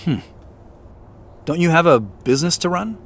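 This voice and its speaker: An actor playing an uncertain, awkward man in a naturalistic audio drama, with hesitant, understated delivery and a husky voice. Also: a little high, expressive, normal speed. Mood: awkward, reflective. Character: uncertain, awkward, subdued man returning to his past.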